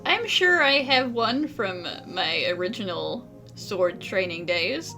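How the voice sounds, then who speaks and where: A woman gamer gives casual, jokey commentary at an unhurried pace. Her mid-pitched voice sits over clearly audible game music. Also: lively intonation, sharp tone. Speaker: game streamer playing along and reading dialogue.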